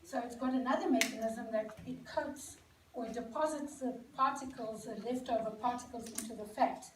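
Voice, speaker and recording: A South African woman with a slightly high voice talks informally to an audience, explaining as she goes and often pausing on "um". She is picked up from well off the mic in an echoey indoor venue, with noticeable noise around her. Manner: conversational, explaining with many ums and self-corrections. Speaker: speaker at a celebration event. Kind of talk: informal talk to a live audience. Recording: echoey room, mic far off, noisy.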